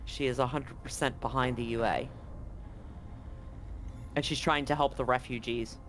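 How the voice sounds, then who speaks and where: A man's voice: medium pitch, steady tone, moderate pace, a bit bright, a little hiss or hum. A man acting as game master, narrating a live tabletop roleplaying game and explaining things to players and audience.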